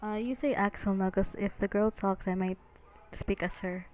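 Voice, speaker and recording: A woman's voice: medium pitch, steady tone, normal speed, darkish tone. A woman co-streaming a game playthrough, chatting and reacting casually.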